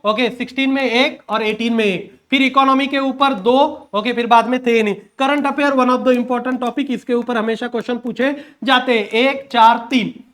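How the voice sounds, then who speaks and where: Indian man, very high voice, exam coaching teacher, instructional lecture delivery, frequent check-in words, English terms mixed in. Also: a bit bright. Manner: instructional, punctuates points with check words like "okay" and "right"; mixes English terms into speech.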